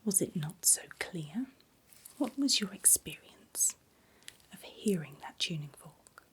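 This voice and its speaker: Slow, whispering woman, gentle and reassuring. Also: lowish voice, animated. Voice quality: breathy whisper. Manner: gentle, reassuring, unhurried personal attention. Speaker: ASMR performer playing a reassuring doctor. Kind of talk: soft-spoken ASMR medical exam roleplay.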